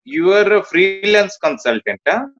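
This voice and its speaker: A man from India, a job candidate, talking in a halting, repetitive way on a video call from a small indoor room. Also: high-pitched, expressive, a bit bright. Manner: halting, repeats words. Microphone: phone or laptop camera, video call. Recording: muffled video call audio.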